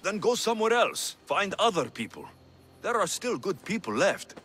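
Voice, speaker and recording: A man's voice: sits high, sing-song, moderate pace, fairly bright tone, a little hiss or hum. A man playing a blunt yet protective mercenary hero in a video game, speaking in a firm, plain-spoken way. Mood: firm, exasperated. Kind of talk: video game cutscene dialogue.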